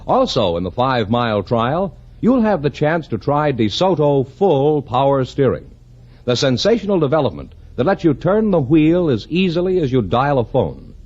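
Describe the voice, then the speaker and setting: A husky American man announces a 1950s radio commercial with an emphatic, polished pitch. His intonation is very lively, and the old broadcast sounds dull. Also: normal speed.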